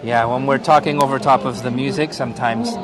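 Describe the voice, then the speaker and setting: A man from Canada, hosting a live walking tour of a night street festival and chatting with viewers over loud music. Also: mid-range voice, fairly even intonation, a little fast. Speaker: live-stream host. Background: loud live folk music and a crowd.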